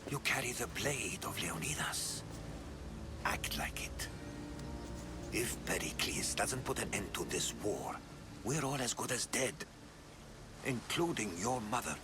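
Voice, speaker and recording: A man's voice: fairly low pitch, lively intonation, loud music behind the voice. Earnest, learned ancient Greek man. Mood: urgent, stern. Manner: grave, measured, storytelling delivery. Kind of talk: dramatic video game acting.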